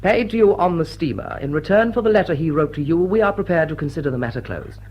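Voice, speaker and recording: Suave English aristocrat, upper-class British accent, drily witty, formal, swooping intonation, radio drama. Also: male voice, on the high side, lively intonation, fast, breathy edge, muffled recording. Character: suave, sardonic English lord chairing a meeting. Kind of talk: period drawing-room radio drama acting.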